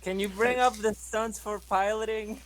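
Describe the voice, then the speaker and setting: A man's voice: high-pitched, steady tone, moderate pace, a bit bright, poor audio. A man playing a tabletop role-playing game, bantering casually and swearing freely. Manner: profane, bantering.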